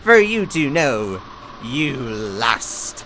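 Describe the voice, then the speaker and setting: A man's voice: mid-range voice, sing-song, drawn-out words, heavy background noise, heavily acted. A man playing a gloating, triumphant supervillain in a superhero radio play, pompous and mocking.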